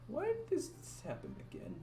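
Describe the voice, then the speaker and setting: A man streaming live, thinking aloud as he codes and chats with viewers, his voice a little raspy from talking for hours. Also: high-pitched. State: voice getting raspy from long talking. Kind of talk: casual livestream coding commentary. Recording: roomy echo, faint music.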